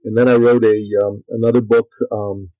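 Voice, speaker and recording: A man's voice: medium pitch, level tone, moderate pace, warm tone, no echo, highs cut off. A middle-aged man from Iran, talking casually in an interview, full of fillers and restarts. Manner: talkative, many fillers and restarts. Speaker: podcast guest and author.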